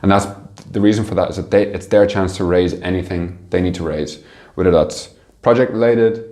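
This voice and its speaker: A man answering interview questions conversationally, haltingly thinking aloud as he explains his work as a manager. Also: deep voice, fairly even intonation, mellow. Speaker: interview guest, team manager.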